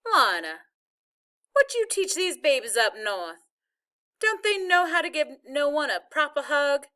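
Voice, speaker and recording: A woman's voice: high-pitched, big pitch swings, measured pace, pushed and bright, voice pushed up, heavily acted. A woman reading a story, voicing a feisty, affectionate old grandmother with a Southern US accent and an emphatic, folksy way of talking. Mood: fond, scolding. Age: older. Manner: folksy, emphatic, scolding yet fond.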